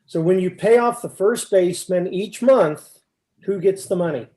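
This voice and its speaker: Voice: mid-range voice, moderate pace, warm tone, lightly acted. A performer playing an exasperated, confused questioner in a staged comic baseball dialogue, pressing insistently for answers. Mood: puzzled. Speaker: amateur readers theater performer.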